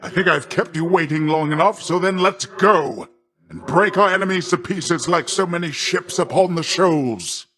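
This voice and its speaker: An actor playing a boastful, middle-aged sea god in a video game, a hearty and doting uncle with a grandiose, jovial, theatrical delivery. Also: male voice, fairly high pitch, normal speed, a bit bright, lightly acted. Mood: boastful, encouraging. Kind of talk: video game character voice lines.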